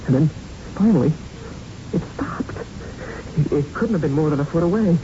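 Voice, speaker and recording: A man's voice: a little high, expressive, mellow, lightly acted. Man playing a haunted young boxer, muffled recording. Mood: frightened, shaken. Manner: halting, intense storytelling with streetwise phrasing. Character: haunted young male boxer, tough, plain-spoken, shaken. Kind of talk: 1970s radio drama acting. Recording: muffled, noisy 1970s radio recording.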